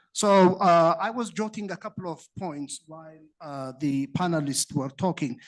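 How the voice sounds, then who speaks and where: A man from Ethiopia with an Ethiopian accent, a college dean putting an earnest, explanatory question to a panel with hesitant pauses. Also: fairly high pitch. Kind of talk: spoken question at a panel discussion.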